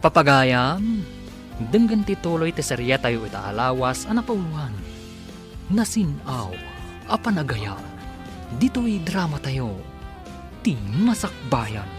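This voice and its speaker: A man's voice: on the high side, very animated, slow, pauses often. Male radio drama narrator over music.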